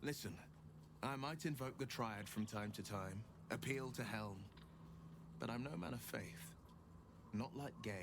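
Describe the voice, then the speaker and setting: An earnest, gallant hero. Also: male voice, medium pitch, a little slow, pauses often. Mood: earnest, disapproving. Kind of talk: video game character acting. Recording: clear ambient noise behind the voice.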